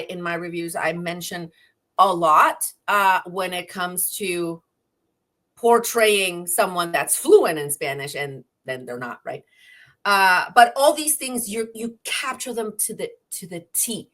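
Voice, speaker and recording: A woman interviewer with a bright, forward voice. She asks her question haltingly, restarting as she searches for the right words. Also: fairly low pitch.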